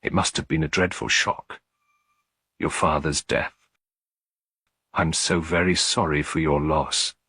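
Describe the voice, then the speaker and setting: A man voicing a gentle, shrewd elderly English lady detective in an audiobook, soft and politely probing. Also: extremely low pitch, fairly even intonation, a little slow, long pauses, slightly rough, no echo, full character voice. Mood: sympathetic.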